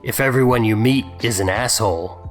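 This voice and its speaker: A man's voice: mid-range voice, a little fast. A man delivering scripted mocking commentary in a sardonic, scornful manner. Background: music.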